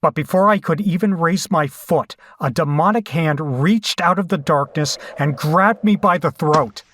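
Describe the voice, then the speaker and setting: Man as a slow, grave horror survivor. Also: a little high, a bit bright. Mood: horrified. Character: shaken horror survivor recounting a bloody escape. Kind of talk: first-person horror audio drama narration.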